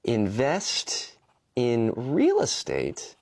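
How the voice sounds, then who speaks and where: A man's voice: mid-range voice, wildly swooping pitch, extremely slow, gravelly, a little mellow. A man giving dry, mock-earnest step-by-step commentary in a short video clip.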